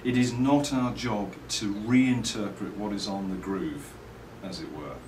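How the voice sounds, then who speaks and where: A middle-aged man with an English accent, giving reflective, articulate interview answers in measured phrases and telling anecdotes as a company owner and designer. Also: lowish voice. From England. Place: indoors at a trade show. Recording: roomy, distant mic, faint background noise.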